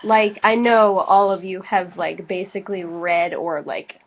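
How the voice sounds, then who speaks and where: A woman's voice: mid-range voice, steady tone, unhurried, a little hiss or hum. A slightly tipsy woman bantering casually and reading aloud for comic effect, informal, profane and giggly. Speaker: co-host of a dramatic reading video. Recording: dull lo-fi home recording.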